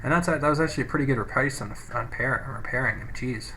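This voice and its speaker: Casting: male let's play gamer, mid-pitched, informal and sweary, talking through his play on a cheap, slightly noisy microphone. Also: fast. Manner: casual, sweary, thinking out loud. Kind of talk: casual gameplay commentary with read-out game text. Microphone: low-quality home microphone.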